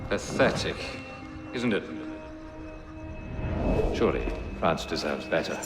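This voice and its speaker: An actor playing a cold, scheming Templar Grand Master in a game, deep-voiced, measured and commanding. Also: male voice, sing-song, exaggerated voice. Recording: echoey hall reverb under loud music.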